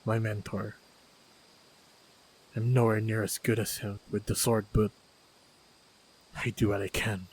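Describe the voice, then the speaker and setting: Man playing a low, unhurried fantasy swordsman. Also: little pitch movement, slight background noise, lightly acted, rough recording. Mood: calm, reflective. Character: calm, modest human swordsman, loyal companion to a princess. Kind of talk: fantasy action audio roleplay.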